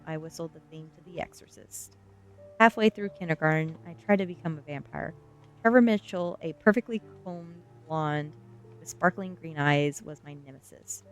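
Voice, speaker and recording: A woman reading a first-person story aloud in an ASMR style, narrating with expressive, dramatic emphasis. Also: deep voice. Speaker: streamer reading a novel aloud.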